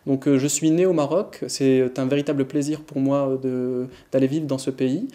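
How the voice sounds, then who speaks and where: A man's voice: medium pitch, fairly even intonation, moderate pace, dark tone. A man who grew up in France, answering interview questions as a business manager, hesitant with frequent fillers.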